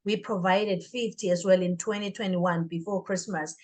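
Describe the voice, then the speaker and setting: A woman's voice: lowish voice, steady tone, moderate pace. A woman speaking as an interview guest, a life coach and author, in an earnest, motivational way. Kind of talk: interview answer.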